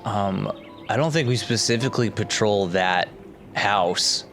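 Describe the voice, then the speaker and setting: A man with a lowish voice plays a tabletop role-playing game among friends. He improvises casually in an offhand, joking manner, with faint music underneath. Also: unhurried, fairly bright tone.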